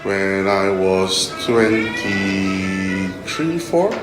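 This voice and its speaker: Low-voiced Malaysian man in an echoey room. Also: animated. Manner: halting, repeats words, often ends phrases with "okay". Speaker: interviewee, tech executive. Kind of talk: explanatory interview answers. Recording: echoey room, music underneath.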